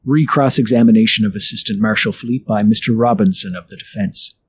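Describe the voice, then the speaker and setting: A man's voice: fairly low pitch, brisk, husky, no echo. A man giving formal scripted narration of court proceedings as a narrator.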